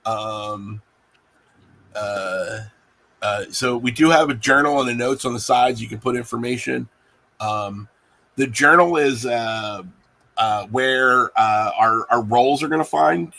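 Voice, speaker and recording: A man acting as game master, improvising narration for a tabletop game in a halting way with many pauses. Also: medium pitch, gravelly, pushed and bright.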